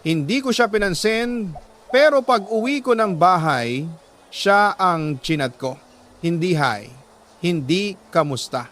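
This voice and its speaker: A man reading a listener's love letter as a radio storyteller, in sentimental dramatic narration with deliberate pauses. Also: high-pitched, animated, a bit bright.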